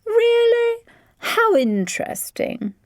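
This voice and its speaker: A woman's voice: on the high side, big pitch swings, unhurried, darkish tone, dry recording, clean studio sound, pitched above the performer's own voice. A woman voicing a posh, gracious upper-class lady who speaks in a languid, affected way.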